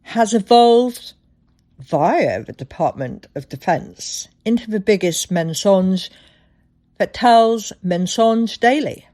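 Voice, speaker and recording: A woman hosting a solo podcast, telling things reflectively with deliberate, dramatic phrasing. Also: medium pitch, expressive, warm tone. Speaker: podcast host.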